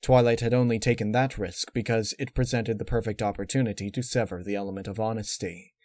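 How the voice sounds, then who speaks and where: Low-voiced man narrating dark fiction. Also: steady tone, dry recording. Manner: measured, dramatic storytelling. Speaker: story narrator.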